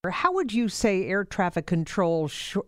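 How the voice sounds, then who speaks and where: A woman hosts a broadcast news interview. Her voice is clear and resonant, recorded close and dry, with the delivery of a radio newscaster putting questions to a guest. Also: medium pitch, sing-song, unhurried, no echo.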